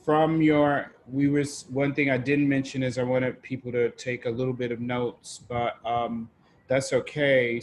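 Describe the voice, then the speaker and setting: Male group host, informal and halting, little pitch movement, some background noise. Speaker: discussion facilitator. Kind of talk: casual group facilitation on a video call. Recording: noticeable background noise on online call.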